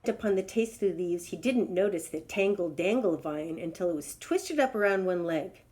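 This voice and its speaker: A woman's voice: lowish voice, expressive, moderate pace, darkish tone. A woman storyteller reading a children's tale aloud in a dramatic, emphatic way.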